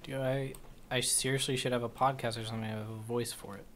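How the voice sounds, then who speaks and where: A relaxed young man chats with his stream audience as he games, answering viewer questions in an easy, unhurried way. His voice sits in a middle register, and a faint hiss runs under it. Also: mid-range voice. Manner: relaxed, answers chat questions between plays. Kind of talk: casual live-stream chat while gaming.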